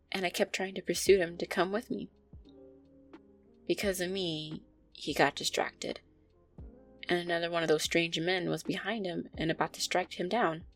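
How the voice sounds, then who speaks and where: A woman's voice: on the low side, fairly bright tone. A woman playing an affectionate, easily flustered, centuries-old vampire girlfriend, talking intimately and hesitantly to her listener in an audio roleplay.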